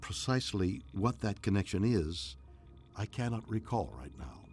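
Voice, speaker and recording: An actor playing an ancient, enigmatic alien entity in a game, speaking calmly and formally in measured, cryptic lines. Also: male voice, fairly low pitch, husky. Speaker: voice pack character. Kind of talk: scripted game dialogue.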